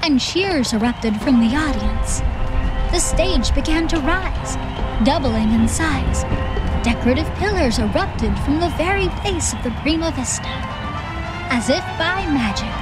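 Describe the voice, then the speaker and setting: A woman's voice: high voice, lively intonation, a little slow, rough recording. A woman reading scripted, dramatic audiobook narration. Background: music.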